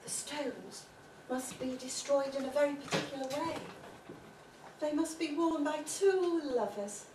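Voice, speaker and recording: A woman's voice: sits high, a little slow. A woman playing a fussy, scolding senior fairy in a pantomime, projecting like a schoolmistress. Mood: grave. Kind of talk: pantomime stage acting with audience participation. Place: amateur theatre stage in a hall. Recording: echoey hall, distant microphone, noisy.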